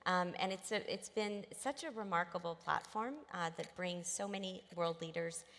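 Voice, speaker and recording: A woman's voice: lowish voice, moderate pace, a bit bright. A woman born in Egypt, speaking as a panelist with enthusiasm and frequent ums. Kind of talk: panel discussion. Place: large hotel conference hall. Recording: reverberant conference hall.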